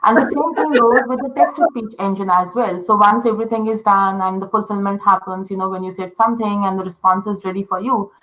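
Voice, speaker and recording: Woman, designer explaining her field, bright forward tone, patient, lots of fillers. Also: medium pitch, fairly even intonation, a little fast, few pauses, low-quality recording. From India. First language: Telugu. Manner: patient explainer with many fillers and "you know". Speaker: voice interaction designer. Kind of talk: explaining in a casual interview.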